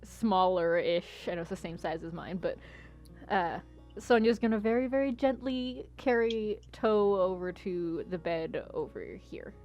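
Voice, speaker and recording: A woman's voice: fairly low pitch, animated, measured pace, a little mellow, faint music behind. A woman chatting casually as a player in a tabletop role-playing game, slipping between describing actions and speaking in character.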